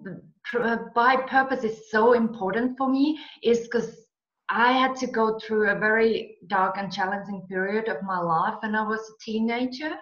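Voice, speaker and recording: A woman's voice: on the high side, moderate pace, fairly bright tone, echoey room, highs cut off. A woman from Finland with a Finnish accent, speaking as an interview guest and telling her personal story. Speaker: interview guest, coach and mentor.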